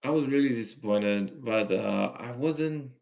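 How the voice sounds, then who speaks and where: A young man from Malaysia hosting a casual livestream, reading viewer comments and giving earnest advice in a slow, halting way. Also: medium pitch, vocal fry, a little mellow. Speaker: livestream host.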